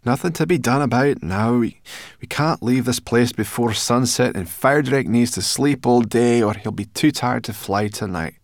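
A man's voice: mid-range voice, normal speed, no room sound, studio quality, voice pushed up, natural voice. A man voicing a feisty, blunt, food-loving female brownie creature for a children's storybook. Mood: impatient, brisk. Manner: blunt, complaining, bossy.

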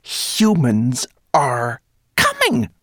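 A man voicing a peevish, indignant female rat in a storybook, shrill and squeaky, snappish and hissing. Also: wildly swooping pitch, very slow, a bit bright, no room sound, clean studio sound, heavily acted. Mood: shrill rage.

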